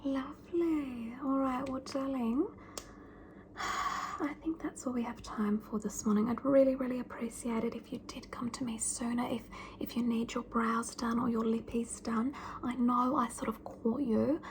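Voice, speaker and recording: A pampered, bossy wealthy mother, played by a woman, whispers right into the mic in a slightly high, breathy voice. She is fussy and patronising, and she calls everyone darling. A faint hiss sits under the whisper. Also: steady tone, a little slow. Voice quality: whispered, soft-spoken. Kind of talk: ASMR roleplay. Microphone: close mic.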